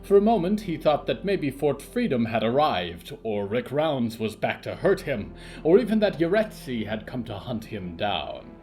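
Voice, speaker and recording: A man narrating scripted literary horror fiction in a measured, theatrical way with dramatic pauses. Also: mid-range voice, big pitch swings, a little mellow, soft background music.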